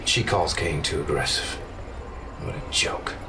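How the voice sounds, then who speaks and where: An actor playing a cynical but loyal military officer in a video game cutscene, with a colloquial, indignant way of talking. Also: male voice, rumbling low, a little slow, background noise. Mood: disbelieving.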